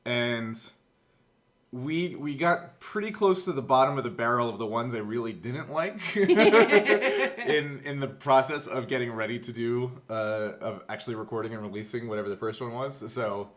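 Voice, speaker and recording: A man's voice: mid-range voice, normal speed, a bit bright. A man chatting casually as host and game master on a podcast. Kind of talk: casual chat about a recorded tabletop game show. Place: indoors, in one room with the co-hosts.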